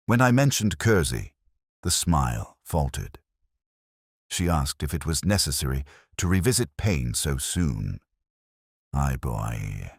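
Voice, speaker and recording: A man reading scripted literary detective fiction in the first person, in a measured, brooding and formal manner. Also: extremely low pitch, no room sound, studio quality. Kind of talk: scripted literary audio drama narration.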